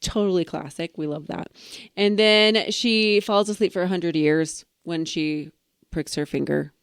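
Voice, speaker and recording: Rambling, playful woman podcaster, lower-pitched. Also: a little slow, smooth, no room sound, studio quality. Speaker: podcast co-host. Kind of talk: casual banter retelling fairy tales.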